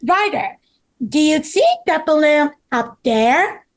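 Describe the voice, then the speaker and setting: A woman's voice: high-pitched, animated, takes it slowly, heavily acted. A woman voicing an eager rescue pup in a children's story table read, with theatrical line delivery. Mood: earnest, eager.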